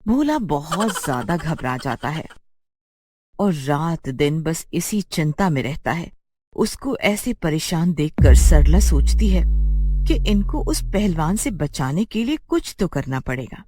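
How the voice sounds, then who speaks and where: A woman's voice: low-pitched, sing-song, a little slow, warm tone, a little hiss or hum. A woman giving scripted narration as the storyteller of a moral tale.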